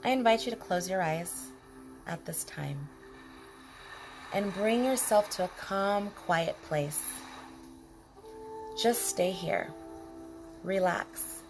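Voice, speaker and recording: Slow-paced woman guiding meditation over music. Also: fairly low pitch. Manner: calm, soothing, unhurried, with short phrases and pauses. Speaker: guided meditation leader. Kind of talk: guided body scan meditation. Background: music behind the voice.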